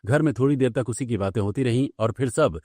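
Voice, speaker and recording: A man's voice: mid-range voice, fairly quick, dark tone, dry recording. A man reading scripted narration for a family cartoon story.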